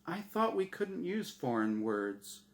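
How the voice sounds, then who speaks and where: A man's voice: a little high, very animated, takes it slowly, pitched above the performer's own voice, full character voice. A man voicing an anxious, bewildered dead man in a story reading, hesitant and questioning. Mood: nervous, puzzled.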